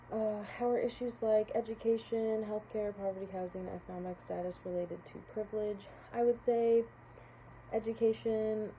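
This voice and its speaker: A young college-age woman talks through a class reflection in a low, very mellow, dark-toned voice. She hedges often, pausing on fillers and restarting her sentences, with faint noise behind her. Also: low-quality recording. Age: young adult. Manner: hesitant, many fillers and restarts. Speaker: college student. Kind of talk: casual spoken class reflection.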